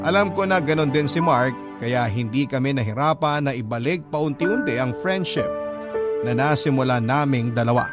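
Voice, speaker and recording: A man's voice: mid-range voice, moderate pace. A man narrating a letter in the first person as a scripted radio drama story. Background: instrumental music.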